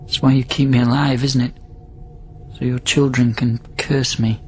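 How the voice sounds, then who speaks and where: An actor in a radio drama playing a lonely, sardonic astronaut held in quarantine, talking bluntly and informally. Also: male voice, medium pitch, flat delivery, normal speed, faint music behind, close to an everyday voice. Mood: bitter, wry.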